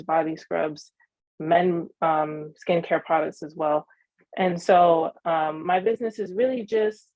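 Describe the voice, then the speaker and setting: Earnest eighteen-year-old woman, low-pitched. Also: a little mellow, no echo, poor audio. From New York (Queens). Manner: earnest, with frequent ums and restarts. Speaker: young entrepreneur guest. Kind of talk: interview answers.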